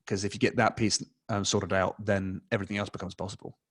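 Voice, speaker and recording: A man's voice: fairly low pitch, flat delivery, fast talker. A man in his forties with an English accent, a guest answering interview questions over a video call, talking casually with many fillers and restarts. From England. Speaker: guest, advertising expert. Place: small room at home, indoors. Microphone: desk mic, video call.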